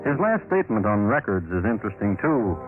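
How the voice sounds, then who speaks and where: A man acting as a wry, deadpan storyteller of historical crimes, narrating a classic radio drama with dry irony. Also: lowish voice, a little fast. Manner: deadpan, dryly ironic. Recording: tinny old radio recording with loud music.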